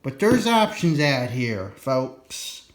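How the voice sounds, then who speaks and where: A man's voice: mid-range voice, very animated, takes it slowly. A man giving a casual, unscripted explainer in a folksy, colloquial way, rambling a bit and repeating his warnings for emphasis. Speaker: presenter of an instructional radio video.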